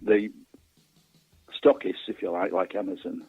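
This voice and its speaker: A man's voice: deep voice, steady tone, a little slow, breathy edge, dry recording. A man speaking as a guest author in a casual interview, hesitant and stammering over repeated words, on a thin phone line.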